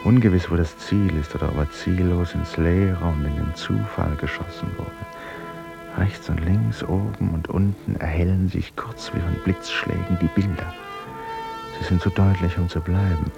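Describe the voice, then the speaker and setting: An actor playing a haunted, introspective river traveller in a radio drama, speaking in deliberate, brooding, measured phrases. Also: male voice, sits low, fairly even intonation, vocal fry, over music. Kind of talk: German radio drama interior monologue.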